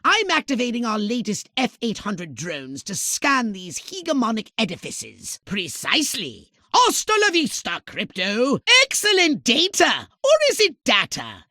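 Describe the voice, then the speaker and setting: A man voicing a haughty, scheming little alien commander in a video game, with a shrill nasal voice and pompous, sardonic, wordy delivery. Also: huge pitch swings, measured pace, few pauses, sharp tone, no room sound, studio quality, heavily acted. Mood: smug, calculating. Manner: pompous, verbose, sardonic, exclaiming dramatically. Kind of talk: theatrical video game voice acting. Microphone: close, dry studio microphone.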